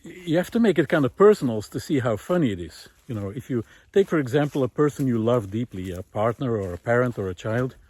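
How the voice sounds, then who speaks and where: A middle-aged man thinking aloud in an informal, reflective monologue, often pausing mid-phrase as he searches for words. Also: mid-range voice, lively intonation, darkish tone. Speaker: art teacher giving a recorded monologue. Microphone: close recorder carried while walking.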